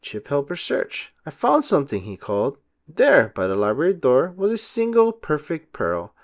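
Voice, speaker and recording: A man giving an expressive, deliberate storybook narration for children. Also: fairly high pitch. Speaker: read-aloud narrator for children.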